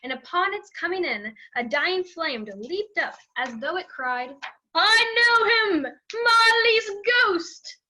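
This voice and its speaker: A woman's voice: very high voice, animated, slow, bright, forceful tone. A young woman narrating a scripted story dramatically, on a slightly dull recording.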